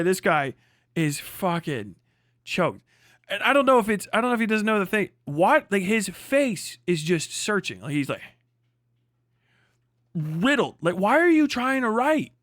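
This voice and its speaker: A man with a high-pitched voice hosts a livestream, recorded close and dry. He rants off the cuff in casual reaction commentary, swearing freely and mocking as he rambles. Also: animated, unhurried, a bit bright, no echo. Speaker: livestream host.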